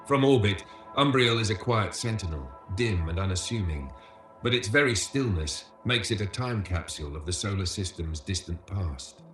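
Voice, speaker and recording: A man with a fairly low voice delivers scripted documentary narration. His manner is measured and solemn, like a tour guide presenting an educational film. The voice sounds roomy and reverberant, with music playing clearly behind it. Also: expressive.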